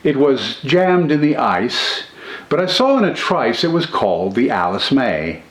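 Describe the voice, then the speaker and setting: An older man recites a ballad from memory, his voice rising and falling in wide, theatrical swings. He takes it slow and deliberate, and the reading echoes around a roomy space. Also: medium pitch, big pitch swings. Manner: enthusiastic, theatrical. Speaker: poetry reciter. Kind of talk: dramatic recitation of a poem from memory. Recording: roomy echo.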